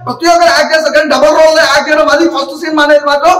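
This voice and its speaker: An effusive, emphatic man, often shouting as he gives an excited movie review as an audience member. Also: very high voice, very fast. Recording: echoey, distant mic with crowd noise. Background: crowd.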